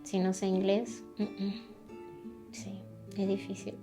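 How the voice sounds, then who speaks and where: A woman with a mid-pitched voice speaks softly and thoughtfully. Clear guitar music plays behind her. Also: completely flat delivery, a little slow. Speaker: migrant interviewee. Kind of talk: documentary interview.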